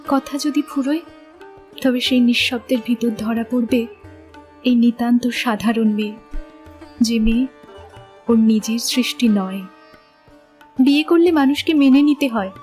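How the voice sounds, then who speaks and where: Woman acting a high-voiced, earnest young woman in love. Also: moderate pace, pauses often, a little mellow, faint music behind, lightly acted. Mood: wistful, earnest. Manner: earnest, reflective, eloquent. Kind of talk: Bengali literary audio drama acting.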